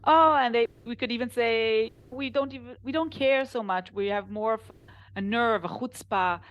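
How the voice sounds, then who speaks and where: A woman with an Israeli accent, a translation company founder, chatting in an interview and telling animated stories with laughs. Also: a little high, moderate pace, lo-fi sound. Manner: animated storytelling, laughs while talking. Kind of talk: casual interview conversation.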